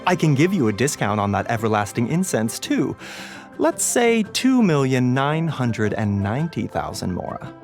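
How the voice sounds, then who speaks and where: A politely mercenary gentleman doctor from a video game, his mid-pitched voice lilting widely. He is courteous and silky, with music clearly underneath. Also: big pitch swings, normal speed. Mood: polite slyness. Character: genteel, sly male doctor, politely mercenary merchant. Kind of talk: video game dialogue acting. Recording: music playing behind. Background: background music.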